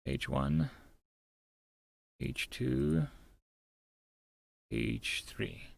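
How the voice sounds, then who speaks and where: A man's voice: mid-range voice, sing-song, very slow, creaky, no room sound, clean studio sound. A man reading a visual novel aloud as he plays, with casual muttered asides. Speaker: narrator and game player.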